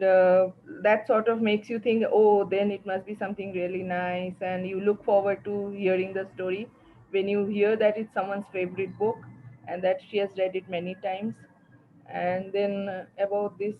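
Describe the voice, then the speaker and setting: A woman with an Indian accent giving reflective feedback as a participant in a workshop. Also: mid-range voice. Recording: tinny phone-like call, some background noise.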